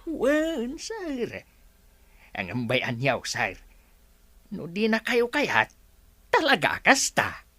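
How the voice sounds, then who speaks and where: A woman's voice: medium pitch, wildly swooping pitch, slow pace, long pauses, bright, forceful tone, no room sound, full character voice. A woman voicing a meek, jittery male servant who stammers and frets. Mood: fretful. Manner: stammering, fretful. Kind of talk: radio drama acting.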